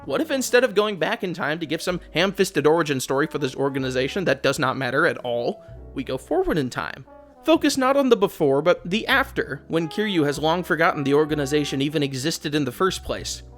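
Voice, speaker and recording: A man's voice: fairly high pitch, expressive, a little fast, a bit bright, faint music behind. A man giving blunt, sardonic, casually profane video commentary in an opinionated, conversational style. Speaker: video essayist, host. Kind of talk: opinionated game commentary, casual script with asides.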